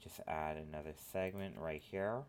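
A man with a cold, sniffling as he talks through a software modeling tutorial at his computer, rambling with lots of filler phrases. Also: rumbling low, steady tone, normal speed, a little hiss or hum. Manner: rambling, filler-heavy instructions. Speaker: tutorial host. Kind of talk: screen-recorded software tutorial.

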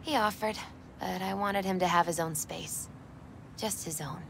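A woman playing a gentle, spiritual young woman who is quietly grieving, speaking softly and reflectively in a video game. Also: on the low side, a little slow, gravelly, a little hiss or hum. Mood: wistful, hesitant. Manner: soft, wistful, reflective. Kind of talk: naturalistic video game acting. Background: music in the background.

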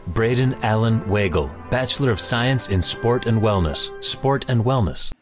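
A man's voice: fairly low pitch, moderate pace. Man reading graduate names over music. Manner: steady formal announcing. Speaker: name reader.

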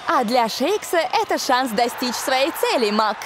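Very high-pitched, eager match announcer. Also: male voice, very animated, unhurried, noisy background, exaggerated voice. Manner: dramatic, emphatic. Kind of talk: cartoon sports commentary. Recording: loud music over the voice.

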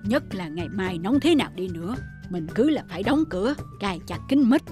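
A woman voicing a greedy, anxious middle-aged rich man in an animated folk tale, grumbling and fretful. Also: mid-range voice, fairly quick, lightly acted. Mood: alarmed, fretful. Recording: music under the voice.

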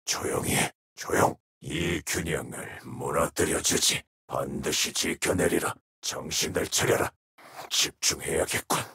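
A man voicing a menacing, brooding shadow wraith assassin in a video game, with a hoarse, whispery rasp and slow, ominous, terse delivery. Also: very deep voice, pushed and bright, heavily acted. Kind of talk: video game character voice lines.

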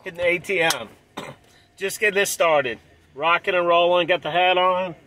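A man in his forties vlogging from inside a car, talking excitedly in a casual, repetitive way and clearing his throat often. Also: sits high, normal speed, slight background noise. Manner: hyped, repeats himself, clears throat often. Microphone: dashboard camera close up.

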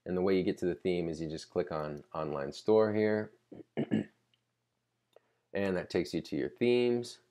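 A man giving a casual, unscripted screen-recording tutorial, explaining conversationally and pausing mid-sentence to think. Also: low voice, mellow. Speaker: tutorial presenter.

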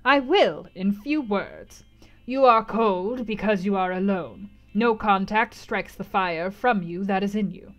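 A woman's voice: medium pitch, a little slow, muffled recording, soft background music, close to an everyday voice. A woman doing a harsh old fortune-teller crone. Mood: deliberate. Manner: bold, decided, mocking.

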